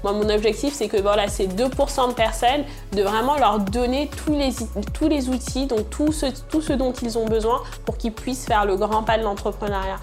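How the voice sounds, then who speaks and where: A woman's voice: fairly high pitch, moderate pace, few pauses, darkish tone, soft background music. A young woman from Senegal who grew up in France, speaking as an entrepreneur answering interview questions hesitantly, with many fillers and restarts. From Senegal, born in Congo, raised in France. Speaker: entrepreneur and channel creator.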